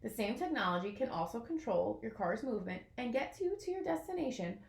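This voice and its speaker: A woman reading a children's picture book aloud in an expressive way, as if to young listeners. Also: on the high side, brisk, creaky, roomy sound. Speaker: storybook reader.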